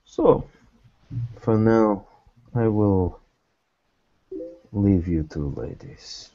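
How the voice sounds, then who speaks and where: A man playing a cryptic, courteous private investigator in a tabletop game, speaking in a measured, formal way. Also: on the low side, gravelly, warm tone, muffled recording, exaggerated voice. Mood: guarded, courteous. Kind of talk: tabletop roleplay in character.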